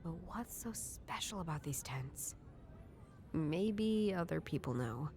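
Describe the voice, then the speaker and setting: A woman's voice: low voice, animated, takes it slowly, darkish tone, slight background noise, exaggerated voice. An actress playing a guarded, inquisitive young woman detective in a video game, dry and matter-of-fact. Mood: pondering. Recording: music behind the voice.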